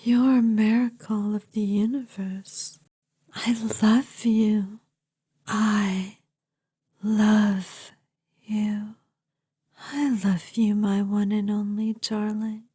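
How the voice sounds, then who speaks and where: A woman voicing an obsessive, devoted vampire girlfriend in an intimate ASMR roleplay, breathy and often whispering, savoring her words with dramatic adoration. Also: mid-range voice, little pitch movement, warm tone, dry recording. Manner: slow, savoring, adoring, dramatic.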